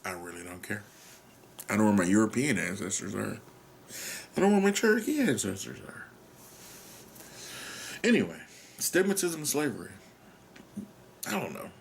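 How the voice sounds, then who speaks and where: A man's voice: fairly low pitch, sing-song, moderate pace, creaky, long pauses, slight background noise. An American man rambling through a solo monologue, musing and questioning himself, sometimes dropping to a whisper and swearing casually. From United States. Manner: musing, self-questioning, trails off. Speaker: podcast host.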